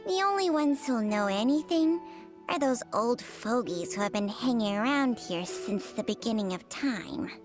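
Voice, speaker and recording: A woman voicing a sly, ancient female snake spirit in a video game, talking low and hushed, sometimes whispering and teasing. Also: lively intonation, normal speed, natural voice. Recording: game audio with background music.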